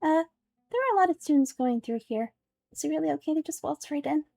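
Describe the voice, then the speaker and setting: A small, childlike girl sidekick from a fantasy video game, played by a woman. The voice is high and soft-edged, curious and excitable, full of questions and exclamations. The recording is close and dry. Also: fast talker, vocal fry, no echo, faint music behind. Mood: curious, wary.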